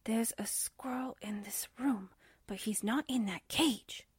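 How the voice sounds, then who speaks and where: A woman playing a spirited, wisecracking newspaperwoman in 1940s radio drama style, expressive and wry, on a noisy recording. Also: on the high side, unhurried, husky, no echo, studio quality.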